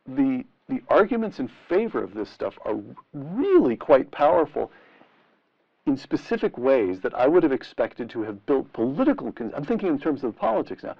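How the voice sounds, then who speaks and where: A middle-aged man interviewing in a deliberate, rhetorical and emphatic way, on a lo-fi vintage radio style recording. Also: mid-range voice, creaky. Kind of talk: long-form interview questioning. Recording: dull, lo-fi vintage radio sound.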